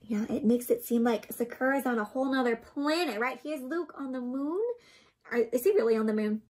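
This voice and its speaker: A young woman doing an interactive storybook read-aloud for children, expressive and gently teacherly, often putting questions to her listeners. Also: fairly high pitch, fairly quick, soft background music. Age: young adult. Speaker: children's storybook reader and host. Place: small indoor room with books and plants.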